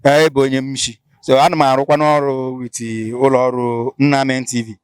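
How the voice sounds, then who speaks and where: Nigerian igbo guy hosting a street interview, lively, mixes pidgin, dry mic. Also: mid-range voice, fairly quick, clean tone, dry recording. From Imo State, Nigeria. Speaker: interviewer and show host. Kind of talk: field interview.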